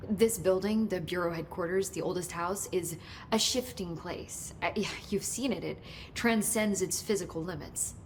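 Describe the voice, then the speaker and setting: A helpful woman researcher in a game, played by a woman, explains strange science with chatty, nerdy eagerness. Her voice sits fairly low and easy. A faint rumble sits under the dialogue. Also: moderate pace, lightly acted. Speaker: research scientist guiding the hero.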